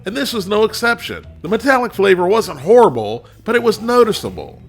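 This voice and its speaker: Congested man hosting a lively food review. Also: high-pitched, a little fast, faint music behind. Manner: folksy and chatty, talks straight to viewers. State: congested, voice a little cracky. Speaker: food reviewer, channel host. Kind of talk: casual video food review.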